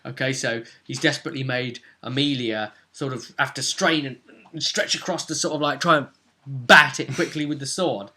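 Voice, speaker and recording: A man speaking as game master, casually recapping a tabletop role-playing session in an animated, explanatory way. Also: medium pitch, normal speed.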